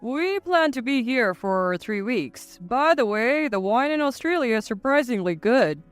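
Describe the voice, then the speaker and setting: A woman's voice: fairly high pitch, lively intonation, unhurried, clear, resonant tone, no room sound, faint music behind. A woman playing a callous, petulant husband and mama's boy in a narrated story, dismissive and self-satisfied. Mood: flippant.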